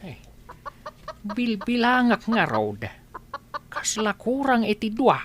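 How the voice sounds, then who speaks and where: A woman's voice: fairly high pitch, big pitch swings, slow, a little hiss or hum. A woman playing a plain-spoken, grumbling old village father in a radio comedy drama. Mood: dismayed.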